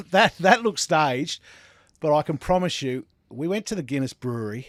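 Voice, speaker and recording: A man's voice: on the high side, big pitch swings, normal speed, no room sound, clean studio sound. A man with an Australian accent hosting a casual sports panel chat. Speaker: podcast host.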